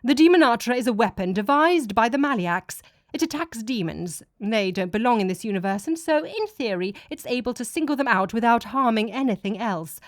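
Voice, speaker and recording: A cold, commanding god speaks in a stern, declaiming way, calmly ruthless and sure of itself, as a woman reads the part. The delivery rises and falls with lively pitch. The sound is dry and close, with no room around it. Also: a little fast, no echo, lightly acted. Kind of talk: audiobook character reading.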